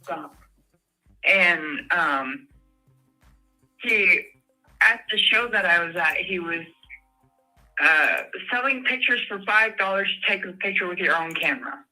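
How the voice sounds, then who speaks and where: A man's voice: high-pitched, lively intonation, slow pace, long pauses, pushed and bright, faint music behind, rough recording. A man from Hawaii calling in to a talk show, telling stories in a chatty, giggly way. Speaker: caller. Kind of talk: casual phone-in chat.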